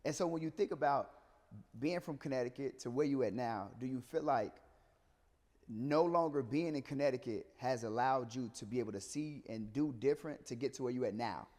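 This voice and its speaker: A man's voice: medium pitch, a little fast, darkish tone. A man hosting a casual interview, chiming in with affirmations and repeating himself for emphasis. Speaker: podcast host. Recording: reverberant hall-like room echo.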